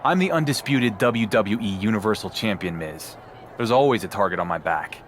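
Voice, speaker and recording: An actor playing a confident, sardonic champion wrestler in a video game cutscene. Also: male voice, on the low side, expressive, normal speed, slight background noise, lightly acted. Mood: defiant. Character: defiant, dry-witted male champion wrestler.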